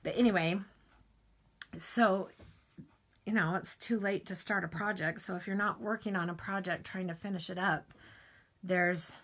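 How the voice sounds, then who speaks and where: A middle-aged woman with a hoarse morning voice, chatting casually while demonstrating a craft project. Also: lowish voice, fairly even intonation, normal speed, long pauses, a bit bright. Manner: chatty, rambling asides while working. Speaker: crafting tutorial host.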